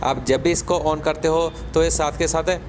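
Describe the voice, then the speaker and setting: A man's voice: a little high, fairly even intonation, normal speed, a little mellow, a little hiss or hum. A man presenting a gadget in a casual unboxing style, explaining things step by step. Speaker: tech channel presenter. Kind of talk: casual product unboxing narration.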